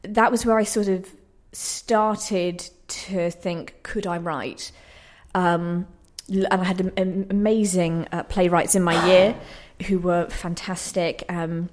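A woman's voice: on the low side, steady tone, slow pace. A young woman talking casually as a radio interview guest, telling personal stories in a modest, good-humoured, slightly nervous way. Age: young adult. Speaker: interview guest, playwright and actor. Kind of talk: casual, chatty personal storytelling. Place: radio station.

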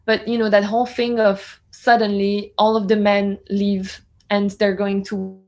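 A woman's voice: mid-range voice, little pitch movement, measured pace, darkish tone. A woman in her twenties from France, a reporter, answering interview questions in an earnest, reflective way with frequent "you know" fillers. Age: 20s.

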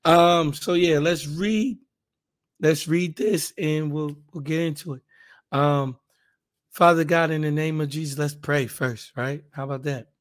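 Hoarse man teaching, then praying fervently. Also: fairly high pitch, fairly even intonation, measured pace. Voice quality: raspy. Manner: casual, rambling, fervent in prayer. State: hoarse, raspier than usual. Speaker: podcast Bible teacher. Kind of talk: casual Bible podcast and spoken prayer.

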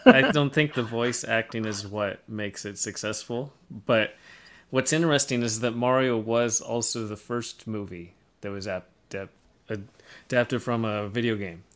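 A man co-hosting a podcast, talking casually and analytically, pausing as he works through his thoughts. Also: fairly low pitch, fairly bright tone. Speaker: podcast co-host. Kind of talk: casual discussion of film trends.

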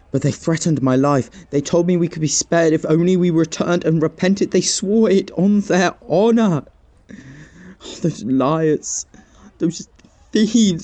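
Man as guilt-ridden companion, period drama, mellow voice, archaic solemn delivery. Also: on the high side, close to an everyday voice. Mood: remorseful. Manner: solemn, old-fashioned speech rising to desperate pleading. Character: guilt-torn male companion, fearful and remorseful.

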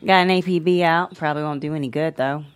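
A woman playing an idle, wisecracking female drinker at a bar, deadpan and offhand, in a comedy table read. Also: deep voice, fast, clean tone, close to an everyday voice.

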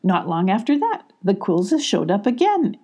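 Woman as an earnest young storybook girl, husky. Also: mid-range voice, sing-song, moderate pace, dark tone. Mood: explaining.

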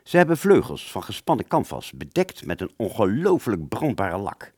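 A man playing a wounded British fighter pilot, telling his story in a radio play with a husky voice and halting, stammering delivery. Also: lowish voice, huge pitch swings, a little mellow, exaggerated voice. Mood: haunted, halting. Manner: halting, stammering, repeats words. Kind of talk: first-person dramatic narration in a radio play.

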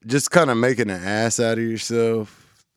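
A man's voice: medium pitch, steady tone, normal speed, clear, resonant tone, no echo, clean studio sound. A man co-hosting a radio talk show in a laid-back, rambling way that often trails off. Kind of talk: casual chat.

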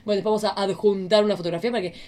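A woman's voice: medium pitch, steady tone, very fast, a little mellow. A woman with an Argentine accent chatting casually as a podcast host. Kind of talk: casual chat.

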